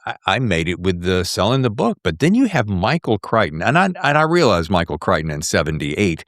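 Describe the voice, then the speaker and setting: Animated podcast host, man in his sixties. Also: sits low, fairly quick, dry recording, studio quality. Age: 60s. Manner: enthusiastic, dramatizing his questions. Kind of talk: casual, animated interviewing.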